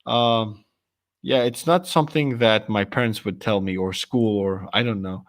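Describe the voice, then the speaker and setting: A young man with a deep voice, chatting casually on a stream and telling stories in a rambling, animated way with lots of fillers and restarts. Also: moderate pace. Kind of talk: casual chat.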